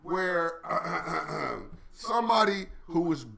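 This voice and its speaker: A man co-hosting a podcast, trading casual, profane banter with loud laughing outbursts. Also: a little high, extremely slow, creaky. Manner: animated, loud laughing outbursts, heavy swearing. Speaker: podcast co-host.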